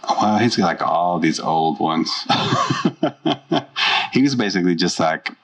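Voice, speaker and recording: Male podcast guest, very low voice, opinionated, set back from the mic. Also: unhurried, far from the mic, poor audio. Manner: opinionated, animated, laughs while talking. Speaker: podcast guest, host's brother. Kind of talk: casual chat.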